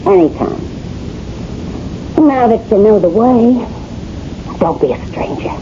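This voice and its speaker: A woman's voice: on the high side, lively intonation, slow. An actor playing a brassy, flirtatious wife fed up with her husband, in slangy, wisecracking 1970s radio drama style, played by a woman. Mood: sardonic, flirtatious. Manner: slangy, wisecracking, ungrammatical delivery. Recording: muffled, hissy old radio broadcast recording.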